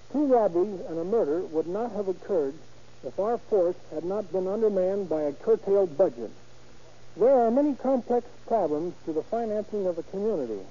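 A man's voice: high voice, dark tone. An American man, a deputy sheriff, giving a stiff, earnest prepared address on 1930s radio. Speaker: deputy sheriff, guest speaker. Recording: muffled, faintly noisy 1930s radio recording.

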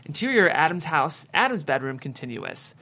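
Evenly pitched screenplay narrator. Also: sing-song, moderate pace, gravelly, bright, forceful tone. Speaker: stage directions reader at a table read.

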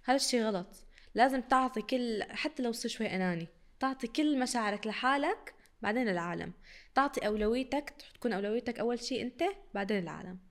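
A woman's voice: a little high, animated, fast. A young woman from Iraq with a mixed Syrian and Iraqi Arabic accent, chatting as a podcast guest and laughing often. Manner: laughs often, mixes dialects. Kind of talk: casual interview chat.

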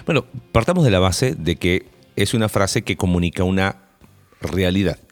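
A man in a relaxed church talk-show conversation. His voice sits somewhat low, and he lays out his points carefully. He often jokes and laughs with his co-host. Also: moderate pace, soft background music. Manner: joking, laughs often, explanatory. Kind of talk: casual conversational discussion.

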